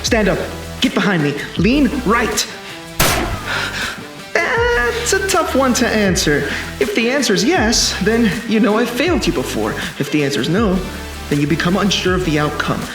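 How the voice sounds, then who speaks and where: An actor playing a commanding, cocky time traveler in an action sci-fi film, terse and insistent. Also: male voice, sits high, sing-song, normal speed. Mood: urgent, wry. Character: urgent male time-traveling protector from the future, commanding and cocky. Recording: roomy sound with music behind.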